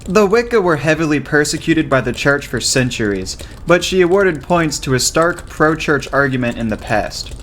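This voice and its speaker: Serious, studious teen boy, slightly high acted by a man. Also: lively intonation, fairly bright tone, a little hiss or hum. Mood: serious, factual. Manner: measured, factual briefing. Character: serious, studious teenage boy debate-team researcher. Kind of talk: amateur radio drama acting.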